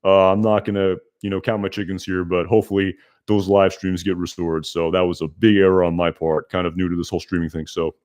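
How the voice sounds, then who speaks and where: A young man with a loud, slightly low voice chats casually on a live stream. He rambles, restarts his sentences and leans on fillers as he answers comments from viewers. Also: little pitch movement, brisk, hardly any pauses. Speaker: YouTube reviewer hosting a live stream.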